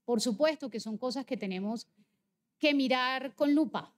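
A woman's voice: sits high, normal speed. A woman in her forties with a Barranquilla Caribbean Colombian accent, delivering an insistent, emphatic political debate speech as a legislator. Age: 40s.

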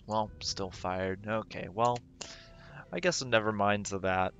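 A man streaming a game, rambling casually and thinking aloud with lots of fillers, his voice going raspy. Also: fairly low pitch, takes it slowly, fairly bright tone, highs cut off. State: voice getting raspy. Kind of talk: casual gameplay livestream commentary.

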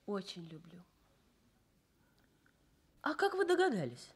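An actress in a Soviet radio drama playing a modest, caring nurse of about twenty, simple and frank in manner. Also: female voice, mid-range voice, wildly swooping pitch, slow, husky, full character voice.

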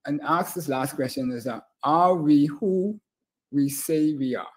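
A man's voice: fairly high pitch, a little slow, a little mellow, low-quality recording. A middle-aged man with a Bahamian accent hosting a casual interview in loose, rambling phrases. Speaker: talk show host. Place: indoor room with bookshelves and screen, on a video call. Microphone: video call.

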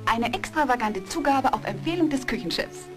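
A woman playing a curious, affectionate wife in a German audio drama, with a gentle, coaxing manner. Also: high-pitched, animated, a little fast, over music. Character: curious, affectionate wife encouraging her struggling writer husband.

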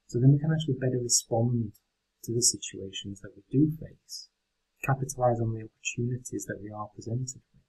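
A man leading a guided meditation in a gentle, unhurried, instructional way. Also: mid-range voice, husky, poor audio.

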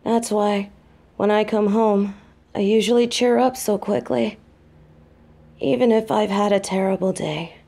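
An actress playing an affectionate, tired demon girlfriend in an audio roleplay, talking softly and close. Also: medium pitch, steady tone, measured pace, creaky, long pauses.

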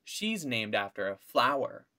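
A man's voice: mid-range voice, big pitch swings, measured pace, pushed and bright. A man voicing a snooty, teasing young schoolgirl mouse in a storybook, mocking and matter-of-fact.

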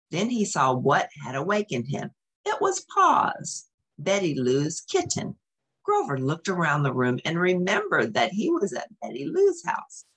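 A woman reading a storybook aloud to young children, expressive and explanatory, adding casual comments and exclamations. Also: on the low side, husky. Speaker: storybook reader.

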